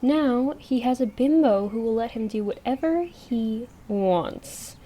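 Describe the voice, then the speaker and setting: A woman plays a young female monster hunter in an audio drama, still stung by an ex. Her voice is highish and soft-edged, her delivery halting, with some noise behind it. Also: animated. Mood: bitter.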